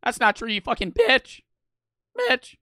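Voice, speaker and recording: A young man streaming casually, talking fast and animated with lots of swearing, close to the mic. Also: very high voice, no echo.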